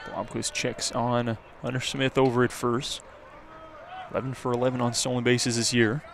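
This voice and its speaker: A man's voice: medium pitch, flat delivery, normal speed. A man giving live play-by-play baseball commentary as a radio announcer. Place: outdoors at a baseball stadium. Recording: clear outdoor ambient noise.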